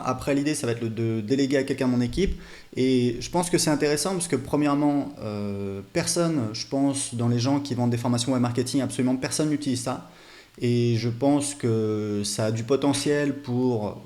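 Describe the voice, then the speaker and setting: Mellow-voiced man explaining software casually. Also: mid-range voice. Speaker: online business coach presenting a software demo. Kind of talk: casual unscripted screen demo explanation.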